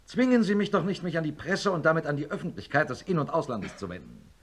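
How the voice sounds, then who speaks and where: Radio play lead: principled professor of surgery, articulate man, very animated intonation. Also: on the high side, fast, husky. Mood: insistent. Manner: educated, emphatic, argumentative. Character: defiant male neurosurgeon professor, indignant, principled, also narrating wearily. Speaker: lead character and narrator. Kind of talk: German radio play acting and narration.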